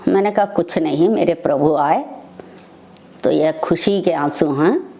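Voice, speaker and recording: An older woman shares personal stories of her faith. Her voice sits slightly low for a woman. She speaks very slowly, breaking her account into short phrases with pauses between them. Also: gravelly, warm tone. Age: older, over 60. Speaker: devotee sharing personal testimony. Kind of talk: devotional personal storytelling.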